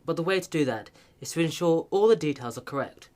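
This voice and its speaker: An actor playing a stiff-upper-lip Edwardian chief constable with a British accent, brisk and controlled, in a radio play. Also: male voice, fairly high pitch, lively intonation, darkish tone, natural voice. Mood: firm, businesslike.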